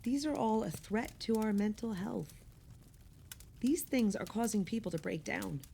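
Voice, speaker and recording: A woman's voice: mid-range voice, unhurried, gravelly, mellow, a little hiss or hum. An actress playing an earnest, exasperated woman with wry opinions, speaking emphatically in conversational radio play dialogue.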